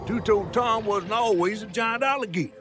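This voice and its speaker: An actor with a Southern US accent playing a folksy, talkative river storyteller in a video game. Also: male voice, high voice, moderate pace, over music, lightly acted. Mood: folksy, ominous storytelling. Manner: drawling, colorful, folksy.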